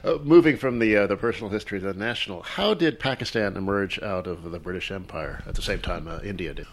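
A man's voice: low-pitched, quick pace, slight background noise. A man hosting an interview, reading a scripted introduction and then asking questions in a plain, matter-of-fact way. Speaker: interviewer host.

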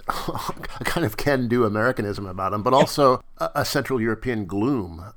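Wry male interviewer with medium pitch. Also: expressive, normal speed, a bit bright. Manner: tentative, wry, stammering into questions. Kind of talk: conversational interview.

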